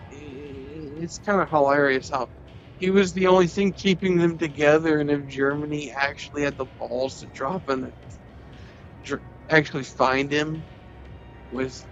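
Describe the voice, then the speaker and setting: A man's voice: mid-range voice, creaky. A man vlogging in a rambling, joking way, cursing freely and stumbling over his words. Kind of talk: rambling comedic monologue. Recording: slightly dull sound with faint music.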